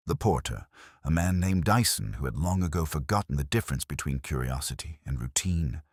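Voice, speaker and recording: A man playing an older, erudite detective, narrating a mystery in a reflective, measured, literary and wistful manner. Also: very deep voice, no room sound, clean studio sound, heavily acted. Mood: pensive, wry. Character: melancholy, erudite older male English detective recalling a case. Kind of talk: reflective scripted first-person mystery narration.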